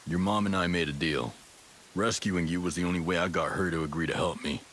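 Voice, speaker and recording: A man's voice: sits low, fairly quick, a bit bright, slight background noise. A man playing a seasoned special-ops soldier in a video game, terse, dry and matter-of-fact. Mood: dry, businesslike. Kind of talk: video game cutscene dialogue.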